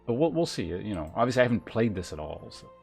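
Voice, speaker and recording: A man livestreaming a video game, chatting casually and reading aloud, thinking out loud in a rambling way with wry asides. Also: lowish voice, big pitch swings, fast talker, creaky, husky. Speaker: game streamer. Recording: music behind the voice.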